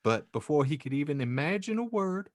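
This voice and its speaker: A man's voice: fairly high pitch, big pitch swings, fairly quick, no room sound, clean studio sound. A man narrating a fan fiction aloud as a podcast host, reading theatrically with wry comic asides. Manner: theatrical, wry, breaks into laughter. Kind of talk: comedic dramatic reading with asides.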